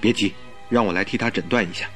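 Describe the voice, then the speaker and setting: An actor playing a concerned, insistent doctor in a radio drama, earnest and questioning, with a husky voice. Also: male voice, on the low side, fast, bright, forceful tone, faint music behind. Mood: concerned, probing. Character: concerned, insistent male doctor, loyal friend.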